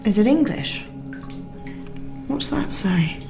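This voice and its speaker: Inquisitive homeowner, lively intonation. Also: female voice, mid-range voice, takes it slowly, vocal fry, heavily acted. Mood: intrigued, suspicious. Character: inquisitive, suspicious new homeowner wife. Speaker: actor in radio drama. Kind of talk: naturalistic radio drama acting. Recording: prominent music behind the voice.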